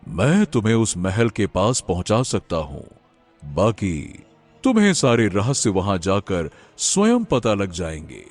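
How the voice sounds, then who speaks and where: A grave holy sage from a cartoon folk tale, acted by a man speaks low and very slowly. His delivery is solemn and mysterious, with swings in pitch, over soft background music. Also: huge pitch swings, exaggerated voice. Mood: solemn, mystical. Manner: slow, grave, chanting Om. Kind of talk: animated folk tale acting.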